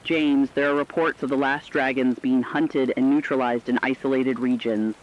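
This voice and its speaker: A man's voice: medium pitch, steady tone, close to an everyday voice. Earnest male radio co-anchor, muffled sound, acted. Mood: grave. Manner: earnest, measured, grave. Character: earnest radio news co-anchor reporting after apocalypse. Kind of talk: scripted live radio news narration. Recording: dull lo-fi sound with faint noise.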